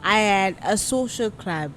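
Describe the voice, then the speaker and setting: Slow, reflective young woman guest. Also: mid-range voice, expressive, a little hiss or hum. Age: 20s. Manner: reflective, thinks aloud with "like" and "okay, fine". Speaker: podcast guest, modeling agency founder. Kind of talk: personal story in casual interview.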